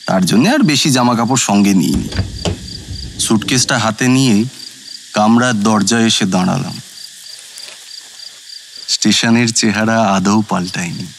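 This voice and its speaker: A man's voice: mid-range voice, slight background noise, close to an everyday voice. A male actor playing a former judicial officer who narrates his night journey as a scripted, literary first-person audio story.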